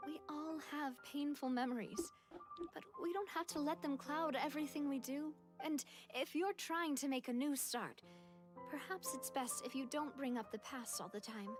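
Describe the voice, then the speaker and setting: Actress as a confident young leader in a game; high, bright voice, brisk and reassuring. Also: female voice, music in the background, lightly acted. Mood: earnest. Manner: assured, direct, gently chiding. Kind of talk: video game voice acting.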